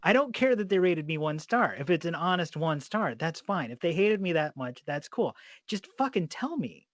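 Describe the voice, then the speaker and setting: Male podcast interviewer, slightly high voice recorded dry, casual and blunt conversational delivery. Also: big pitch swings, normal speed, no echo. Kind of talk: casual chat.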